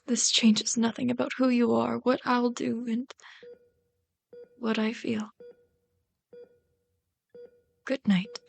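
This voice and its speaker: Hushed, pleading lovesick villain played by a woman. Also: on the high side, little pitch movement, vocal fry, heavily acted. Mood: resigned, resolute. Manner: hushed, halting, intense, tender. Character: lovesick, conflicted villain pleading with wounded captive. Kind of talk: ASMR roleplay acting.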